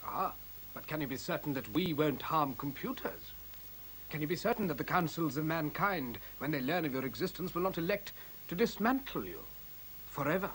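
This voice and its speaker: Higher-pitched, courteous scientist. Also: male voice, animated, moderate pace, fairly bright tone. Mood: challenging. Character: courteous male scientist, thoughtful and questioning. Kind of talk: 1970s science fiction radio play acting. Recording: faint hiss, 1970s radio recording.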